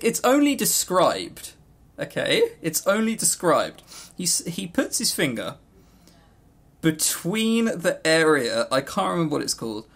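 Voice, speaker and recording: Man, podcast co-host, higher-pitched voice, very lively intonation, jokey, swears freely, casual chat. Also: gravelly. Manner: animated, jokey storytelling with frank swearing. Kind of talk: casual chat about games.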